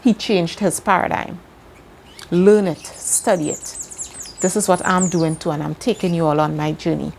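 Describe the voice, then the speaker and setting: A woman's voice: lowish voice, fairly even intonation, normal speed, creaky, a little mellow, slight background noise. A woman from Trinidad and Tobago with a Trinidadian accent, teaching a self-help lesson in an earnest way and repeating key words for emphasis. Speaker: self-help video host. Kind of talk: slow motivational teaching monologue.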